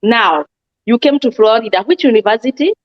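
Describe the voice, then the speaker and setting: A woman's voice: fairly high pitch, lively intonation, a little fast. A woman hosting an interview, asking questions and affirming her guest enthusiastically. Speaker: host. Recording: dull lo-fi sound.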